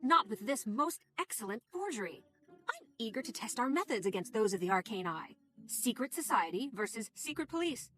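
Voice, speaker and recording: A woman's voice: on the high side, big pitch swings, normal speed, fairly bright tone. A woman voicing an eager, bookish gnome-like conspirator in a video game, theatrical, precise and self-satisfied. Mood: eager, scheming. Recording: game music under the voice.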